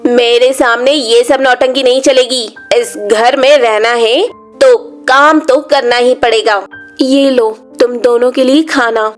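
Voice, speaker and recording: A cruel aunt from a children's bedtime cartoon, acted by a woman storyteller. Her voice sits high and she scolds with open scorn, handing out orders harshly. Music plays underneath. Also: fairly even intonation, takes it slowly. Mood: harsh, bossy. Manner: sharp, scolding, contemptuous. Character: cruel, scornful aunt bullying two orphan girls. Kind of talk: children's animated story dialogue.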